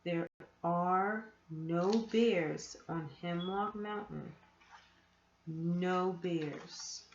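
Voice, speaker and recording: A woman's voice: lowish voice, drawn-out words, slight background noise, pitched below the performer's own voice. A woman voicing a polite, earnest young boy who tries to be brave in a children's storybook. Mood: determined, weary. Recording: echoey room, dull muffled sound.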